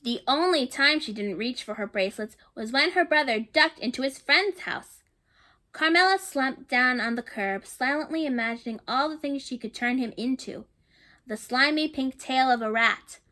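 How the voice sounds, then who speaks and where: Woman storyteller for kids, high and bright, chatty asides, asks listeners questions. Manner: chatty, warm asides to young listeners, often repeating herself. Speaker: storyteller reading a picture book aloud. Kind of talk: children's read-aloud with questions to listeners.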